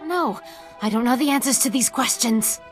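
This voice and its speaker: A woman's voice: a little high, normal speed, soft background music, natural voice. A woman voicing a playful, lonely ancient female spirit who teases a visitor into a game of questions in a video game. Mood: teasing. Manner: whimsical, teasing, playful.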